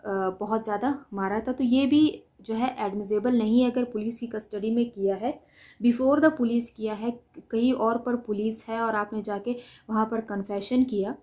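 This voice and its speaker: A woman's voice: fairly high pitch, steady tone, a little slow, a little mellow. A woman with a Pakistani accent giving an explanatory law lecture, didactic, often posing questions and answering them herself. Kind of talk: explanatory lecture mixing Hindi-Urdu with English legal terms.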